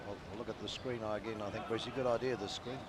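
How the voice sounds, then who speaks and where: A man's voice: lowish voice, steady tone. A man with a New Zealand accent giving upbeat live rugby commentary with plenty of banter. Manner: upbeat, quick play-by-play with banter. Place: outdoor stadium commentary position. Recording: outdoor crowd and traffic noise.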